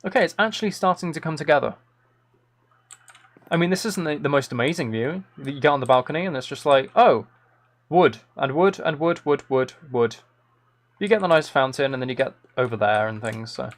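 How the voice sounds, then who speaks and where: A man's voice: on the high side, animated, fairly quick. A man hosting a live game stream in casual chat, rambling playfully and thinking aloud into a close microphone.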